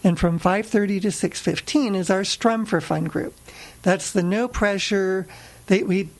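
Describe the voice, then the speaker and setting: A woman's voice: medium pitch, a little slow, a little mellow. Give me a woman who laughs often and talks with easy cheer about her hobby group. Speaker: ukulele club member. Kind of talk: casual interview chat.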